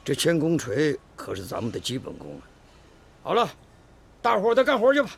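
An actor playing a firm, hearty male factory team leader with a commanding, gravelly delivery in a radio drama. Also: a little high, huge pitch swings, brisk, heavily acted. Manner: commanding, no-nonsense.

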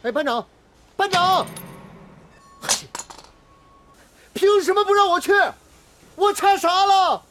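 A man's voice: very high-pitched, lively intonation, moderate pace, background noise. A man acting an earnest, eager young factory apprentice in a radio drama, speaking with deference. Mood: indignant. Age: young adult.

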